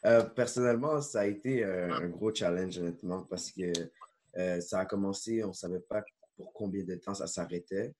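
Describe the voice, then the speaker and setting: Deep-voiced young Québécois man. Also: moderate pace, slight background noise. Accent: Québécois French. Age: young adult. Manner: relaxed, chatty, frequent "euh" and "là". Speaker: student guest. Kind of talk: casual interview over video call. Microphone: video call.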